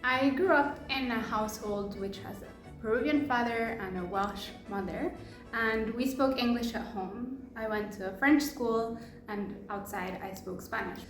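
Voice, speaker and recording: Peruvian woman, relaxed and laughy, bit high, echoey room. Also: measured pace, faint music behind. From Peru. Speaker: club member. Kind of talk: documentary interview.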